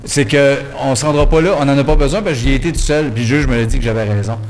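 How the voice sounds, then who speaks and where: A man in his forties with a Québécois accent bantering casually as a comedy co-host, argumentative and quick to swear. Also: medium pitch, slight background noise, low-quality recording. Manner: animated, argumentative, profane.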